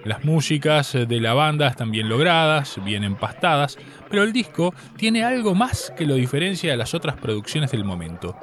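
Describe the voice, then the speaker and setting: A man with a Uruguayan Spanish accent reads scripted radio narration as a segment host, in a measured, literate, explanatory way. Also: a little high, sing-song, fairly bright tone.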